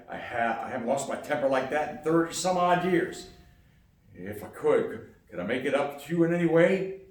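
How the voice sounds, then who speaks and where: A man playing a plain-spoken, folksy elderly grandfather, outspoken and gently stubborn, in a live radio play. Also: mid-range voice, lightly acted. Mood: sheepish, kindly. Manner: plain-spoken, homespun, scolding then apologetic. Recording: echoey hall from far off, noisy.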